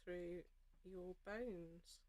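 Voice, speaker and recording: A woman's voice: lowish voice, steady tone, drawn-out words, darkish tone. A woman hosting a live craft stitch-along, practical and reassuring as she thinks aloud while she works. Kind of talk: casual live craft demonstration.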